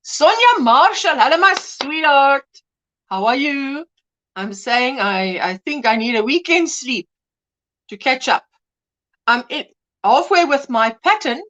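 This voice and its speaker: A woman's voice: high-pitched, animated, a little slow, fairly bright tone, dull audio. A woman hosting a casual crochet livestream, chatty and greeting viewers by name, with a mixed English and Afrikaans home language. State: drowsy from pain tablets. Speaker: livestream host.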